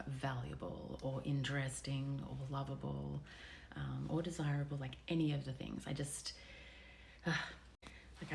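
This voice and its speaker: Low, gravelly woman in her thirties. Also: slight background noise. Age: 30s. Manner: candid and confessional, often dropping to a near whisper. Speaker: vlogger. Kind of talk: personal reflective vlog.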